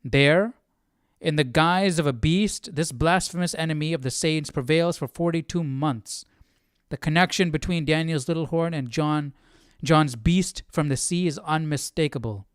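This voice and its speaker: A man's voice: fairly high pitch, normal speed, clean tone, no room sound, studio quality. A man hosting a livestream Bible study, talking informally and thinking aloud. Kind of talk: casual livestream chat and study commentary.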